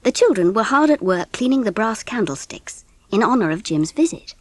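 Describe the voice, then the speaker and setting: A woman narrating a children's story audiobook in an expressive, scripted style. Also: on the high side, normal speed.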